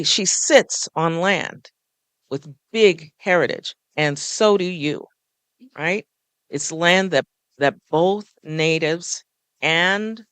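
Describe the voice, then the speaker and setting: A slightly high-pitched man with a gravelly edge speaks into a handheld mic on a café stage, a folklorist addressing a live audience. He is earnest and coaxing and keeps turning questions back to the listeners. The sound is close and dry. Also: a bit bright, no room sound. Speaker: folklorist, panelist.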